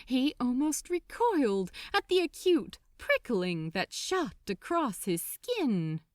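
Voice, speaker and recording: Female story narrator: unhurried, dramatic delivery with wide melodic range, recorded very dry. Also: mid-range voice, dry recording. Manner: expressive, deliberate. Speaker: fan fiction narrator.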